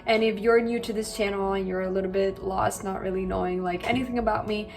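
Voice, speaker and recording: A woman's voice: medium pitch, fairly even intonation, fast talker, darkish tone. Chatty young Colombian woman vlogger. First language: Spanish. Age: 20s. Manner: chatty, many likes and you-knows. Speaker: language vlogger. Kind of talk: casual chat to camera.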